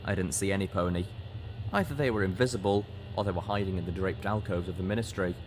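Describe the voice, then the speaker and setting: A man reading a fantasy story aloud as dramatic audiobook narration, in a deliberate, expressive storytelling manner. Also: deep voice, a little hiss or hum. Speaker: story reader.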